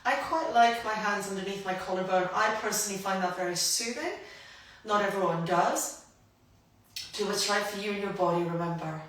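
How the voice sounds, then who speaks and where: A woman guiding a grounding movement practice, gentle and invitational, her voice a little croaky from hay fever. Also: medium pitch, recorded from a distance. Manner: gentle, invitational, unhurried guidance. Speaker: somatic practice guide. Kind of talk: guided movement instruction. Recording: roomy echo, voice from afar.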